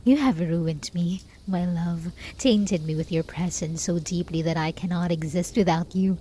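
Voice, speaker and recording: A woman playing a lovesick, possessive, desperate hermit spellcaster in a soft, intimate ASMR roleplay, often slipping into whispers. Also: on the low side, unhurried, a little hiss or hum, close to an everyday voice, poor audio. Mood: obsessive, threatening. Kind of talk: ASMR roleplay, scripted dramatic monologue.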